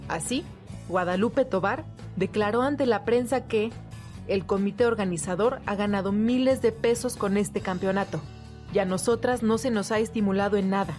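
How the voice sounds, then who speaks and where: A woman's voice: medium pitch, a little mellow. A woman reading scripted documentary narration.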